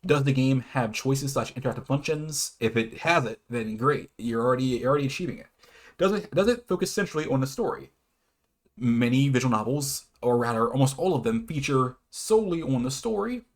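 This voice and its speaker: A man's voice: mid-range voice, expressive, a little fast. Hesitant man giving an informal explainer. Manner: hesitant, stumbles over words and self-corrects; chuckles often between phrases. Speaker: YouTube host presenting his own definitions. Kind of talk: informal explainer, half read, half ad-libbed.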